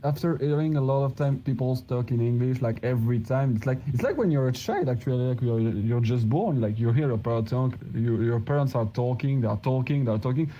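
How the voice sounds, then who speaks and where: A man's voice: medium pitch, brisk, few pauses, mellow, low-quality recording. A man from France with a French accent, a native French speaker, chatting casually in English and often searching for words. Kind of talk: casual chat. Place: online VR voice chat. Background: music.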